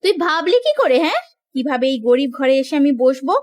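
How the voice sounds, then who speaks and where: Woman playing a haughty rich sister-in-law, high voice, wildly swooping intonation, scolding and taunting, melodramatic cartoon delivery. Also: expressive, fairly quick, darkish tone. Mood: scornful scolding. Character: haughty, scornful sister-in-law from a landlord's household.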